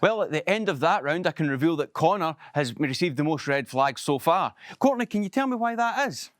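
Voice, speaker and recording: Guy hosting a dating game, kinda high voice, stumbles a bit, dead dry sound. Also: animated, brisk, dry recording. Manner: stumbling, repeats words. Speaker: game show host.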